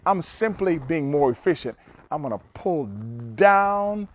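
An older man coaching judo in a large gym, explaining takedowns step by step and punctuating each move with "boom". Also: lively intonation, unhurried, a little hiss or hum. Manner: punchy, repeats "boom" between steps. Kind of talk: demonstrating techniques to students. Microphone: camera across the room.

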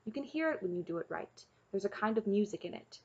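A woman's voice: mid-range voice, lively intonation, fast talker, slightly rough, highs cut off. A woman reading a story, voicing a stout old smith, a practical mentor who instructs bluntly. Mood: critical, instructing.

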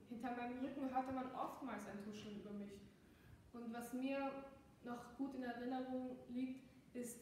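A fifteen-year-old girl from Austria, giving an earnest, measured competition speech that switches between German and English. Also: fairly high pitch, slight background noise. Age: teens. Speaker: student speech contestant. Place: large echoey classroom. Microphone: far camera. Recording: echoey classroom, mic far off.

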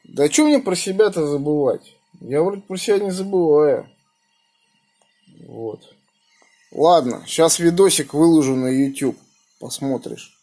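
A man with a slightly husky voice, chatting casually in slangy speech and giving call signs as an amateur radio operator, recorded indoors on a phone. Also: on the high side, a little slow, pauses often, warm tone. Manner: colloquial, slangy. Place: small room indoors beside radio equipment. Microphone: phone held near the radio.

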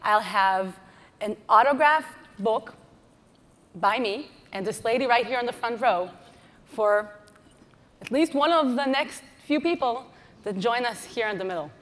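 A woman's voice: a little high, lively intonation, unhurried, long pauses, fairly bright tone. A woman in her thirties from Israel, with an Israeli accent, giving a playful, audience-teasing conference presentation on a large stage. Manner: playful, teasing the audience with flight-attendant jokes. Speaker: conference speaker. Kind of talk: lively keynote presentation. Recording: hall reverb.

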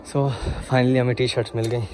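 A man's voice: mid-range voice, completely flat delivery, moderate pace. A man in his twenties with a Pakistani accent chatting casually to his phone as a vlogger, explaining things and mixing in English words. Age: 20s. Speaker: vlogger, university student. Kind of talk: casual vlog chat to camera. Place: city streets outdoors and inside shops. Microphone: phone at arm's length. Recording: phone vlog with music laid over. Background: background music.

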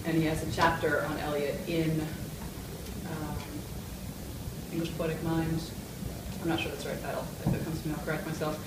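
A woman's voice: sits low, level tone, brisk, pauses often. A woman in her thirties answering audience questions informally at a talk. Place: hotel conference room. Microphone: camera at a distance. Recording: very echoey room, distant, noisy.

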